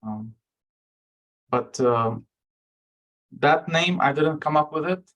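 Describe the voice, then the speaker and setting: A man's voice: fairly high pitch, slow, fairly bright tone. A man from Lebanon answering audience questions as a guest researcher, speaking frankly with frequent fillers and restarts.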